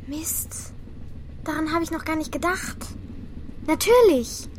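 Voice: high-pitched, expressive, slow, background noise. A performer playing an outspoken, compassionate and combative young girl in a children's radio play, blunt and direct. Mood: musing.

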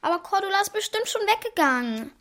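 Helles, trotziges junges Mädchen. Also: female voice, extremely high pitch, sing-song, fast, a bit bright, full character voice. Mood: feigned innocence. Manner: insistent, contrary. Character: stubborn, cheeky young girl, jealous daughter. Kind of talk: children's radio play acting.

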